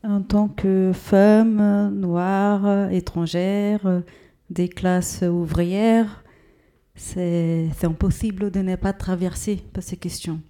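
Femme posée, voix grave et mate, parole mesurée. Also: female voice, medium pitch, little pitch movement, warm tone. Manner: slow, deliberate, searching for words. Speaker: interviewee. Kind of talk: radio interview answer.

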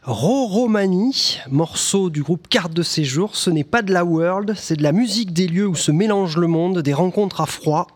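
A man hosting a radio interview, hesitant and full of fillers and restarts. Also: high voice. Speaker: radio show host.

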